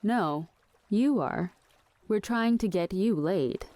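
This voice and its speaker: An actress playing a blunt, practical friend in an audio drama, with a dry, matter-of-fact delivery. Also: female voice, mid-range voice, lively intonation, slow pace, mellow, dry recording. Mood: dry, teasing.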